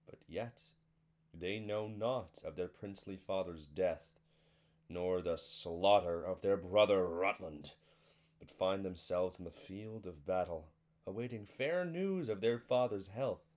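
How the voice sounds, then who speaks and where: Male actor as solemn chorus, Shakespearean stage drama, low voice, very slow measured declamation, grave and sorrowful. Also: darkish tone. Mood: solemn, portentous. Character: solemn male chorus and grieving messenger bearing tragic news. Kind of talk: Shakespearean verse acting.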